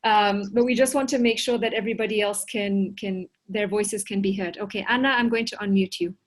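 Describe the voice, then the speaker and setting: A woman's voice: mid-range voice, steady tone, fairly quick. A woman hosting a video call meeting, calling on participants and giving friendly, slightly hesitant instructions. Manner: organizing, friendly, a little hesitant. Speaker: host. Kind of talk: moderating an online meeting.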